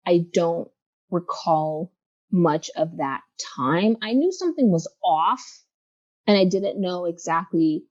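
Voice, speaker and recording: A woman in her thirties, a guest from Los Angeles, speaking reflectively in a casual interview with many pauses and fillers. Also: on the low side, lively intonation, darkish tone, no echo, dull audio. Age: 30s.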